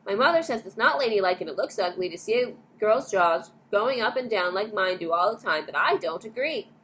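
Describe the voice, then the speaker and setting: A woman voicing a loud, brash, boastful young girl who chatters away as a storybook character. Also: mid-range voice, lively intonation, a little fast, natural voice, low-quality recording. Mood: defiant. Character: loud, boastful, fast-talking gum-chewing young girl. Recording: clear background noise.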